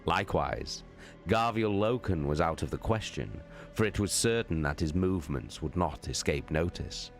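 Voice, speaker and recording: A man reading science fiction prose aloud as dramatic narration, solemn and deliberate. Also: low-pitched, animated, soft background music. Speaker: audiobook-style reader, streamer.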